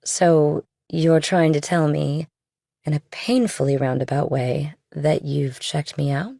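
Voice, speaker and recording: A woman's voice: deep voice, fairly even intonation, measured pace, vocal fry, warm tone, no echo, studio quality, exaggerated voice. A woman playing a young, worldly, guarded girlfriend in an audiobook, speaking casually and directly. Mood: guarded, pointed. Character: young worldly girlfriend of a rich older man, guarded, pointed. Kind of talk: audiobook character dialogue.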